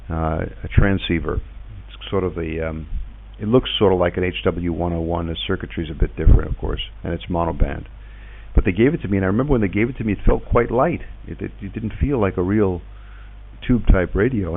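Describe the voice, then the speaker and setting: A middle-aged man chatting casually and reminiscing in a halting way, repeating words as he goes. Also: low voice, creaky. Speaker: podcast host and ham radio operator. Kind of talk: casual radio chat, reminiscing. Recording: tinny phone-like internet radio link.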